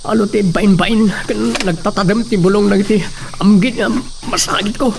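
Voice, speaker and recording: A man's voice: high voice, steady tone, normal speed, vocal fry, background noise. A man acting a tense scene in a radio drama, talking to himself, with music underneath.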